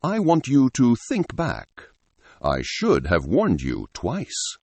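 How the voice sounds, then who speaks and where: A man voicing a calm, gentlemanly android bird from another dimension, speaking formally and politely in an audiobook story. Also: mid-range voice, gravelly, husky, dry recording, voice pushed up, heavily acted. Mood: calm, earnest. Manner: formal, courteous, measured.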